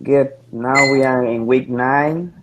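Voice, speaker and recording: A man's voice: medium pitch, fairly even intonation, slow pace, background noise. A man, presenting to an online study group, hesitant and full of filler sounds. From Mexico. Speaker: student presenter. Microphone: video call.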